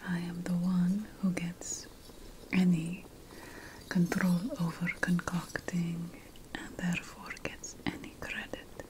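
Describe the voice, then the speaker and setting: ASMR witch roleplay: woman, unvoiced breathy whisper, very unhurried, playful potion-master air, faint background noise. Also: on the low side, monotone. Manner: slow, deliberate, pausing between phrases.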